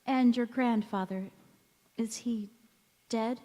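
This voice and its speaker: Actress as a slow, wistful mystery woman, echoing hall. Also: a little high, steady tone, warm tone. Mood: wistful, polite. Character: mysterious ragged young woman, wistful, knowing, gently evasive. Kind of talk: stage radio drama acting. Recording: echoey hall with long reverb.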